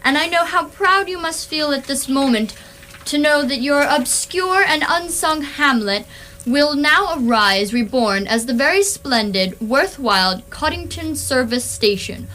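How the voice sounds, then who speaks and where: Grand, patronizing society lady acted by a woman, very high voice, declaiming an official speech for radio comedy. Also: expressive, unhurried, sharp tone, full character voice, poor audio. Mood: pompous, condescending. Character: pompous, condescending upper-class lady dignitary making speech. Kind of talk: amateur radio theatre acting, ceremonial speech.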